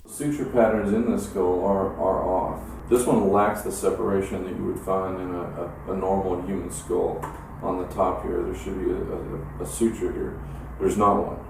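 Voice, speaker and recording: A low-pitched man gives a halting, technical expert explanation. He is recorded at a distance in a very echoey room, with clear noise behind him. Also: little pitch movement. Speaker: academic expert giving an opinion.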